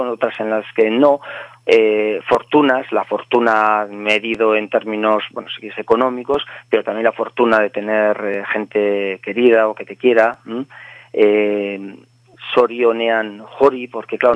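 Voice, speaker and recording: Man, translator, halting and thoughtful, fills pauses, tinny phone audio. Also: medium pitch, dry recording. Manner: hesitant, many filler sounds, reflective. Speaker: literary translator. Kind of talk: conversational interview, anecdote telling. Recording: tinny phone-line sound.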